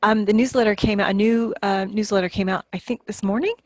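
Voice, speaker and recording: A woman's voice: medium pitch, quick pace, darkish tone, no room sound. A woman co-hosting a podcast, talking in a casual, conversational way. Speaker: podcast co-host. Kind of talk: casual chat.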